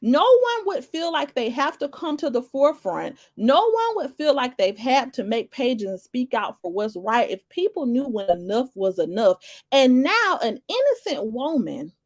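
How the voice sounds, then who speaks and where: A woman's voice: high voice, sing-song, moderate pace, no echo, clean studio sound. A woman giving casual live commentary, greeting viewers and rambling through restarts and fillers. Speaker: livestream commentator.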